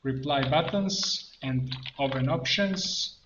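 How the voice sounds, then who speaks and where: A man presenting an informal screen-share tutorial, thinking aloud step by step in halting phrases. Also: mid-range voice. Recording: roomy echo, muffled band, background noise. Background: keyboard typing and mouse clicks.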